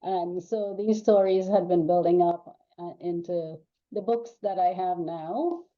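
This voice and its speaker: A woman's voice: on the low side, steady tone, a little slow. An older woman from Trinidad and Tobago, a guest author chatting casually in an interview, talking in loose runs with many ums and restarts. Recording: dull lo-fi call audio.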